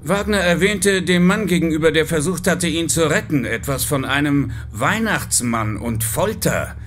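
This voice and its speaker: A chief inspector in a crime radio play. His voice sits a little high. He is serious and businesslike, setting out facts briskly. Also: animated, fairly bright tone, slight background noise. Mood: grave, pondering. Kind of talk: German audio drama acting.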